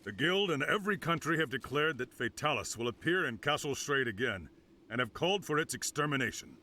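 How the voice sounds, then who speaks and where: An actor playing a resolute, authoritative commander in a video game, giving firm and formal orders. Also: male voice, medium pitch, lively intonation, brisk, pushed and bright. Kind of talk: dramatic video game cutscene dialogue.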